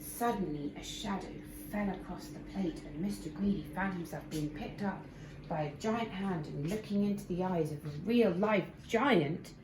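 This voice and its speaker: A woman narrating a children's story expressively, with deliberate, dramatic emphasis. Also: lowish voice. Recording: noisy amateur recording, clear background noise.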